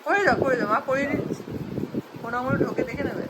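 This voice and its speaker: A woman's voice: high-pitched, rapid-fire. A woman with a West Bengali accent in casual family chat, teasing and exclamatory. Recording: loud background noise on location.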